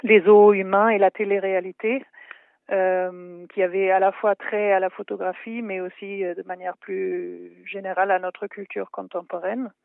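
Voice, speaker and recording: Woman, German accent, native German speaker, halting with many pauses, gravelly fry, dry tinny phone sound. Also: medium pitch, level tone, dry recording. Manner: hesitant, many filler pauses. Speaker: photography historian and translator. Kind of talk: interview answers.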